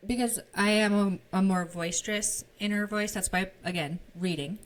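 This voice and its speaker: A woman co-hosting a podcast, talking earnestly and eagerly in casual chat, with halting fillers. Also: mid-range voice. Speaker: podcast co-host.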